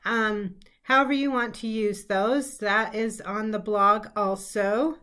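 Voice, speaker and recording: A woman's voice: fairly high pitch, a bit bright. Chatty woman with a cold. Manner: chatty, many ums and throat clears. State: hoarse from a cold. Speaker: quilting teacher and show host. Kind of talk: casual live-stream chat. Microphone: computer setup, live stream.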